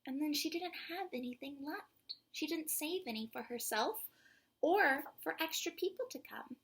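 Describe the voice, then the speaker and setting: A woman with a breathy voice reads aloud and explains simply to young children in an expressive, emphatic way. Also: high-pitched, normal speed. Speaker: children's story reader and host.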